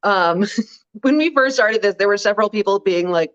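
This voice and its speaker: A woman with a deep voice co-hosting a casual chat, wry and blunt, full of "like" and "um". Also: expressive, moderate pace, highs cut off. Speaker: co-host.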